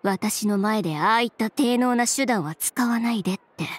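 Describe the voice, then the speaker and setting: A woman's voice: a little high, moderate pace, lightly acted. An actress playing a poised, proud young woman in a video game, speaking with cool, haughty sarcasm. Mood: cold, menacing. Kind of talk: Japanese game voice acting.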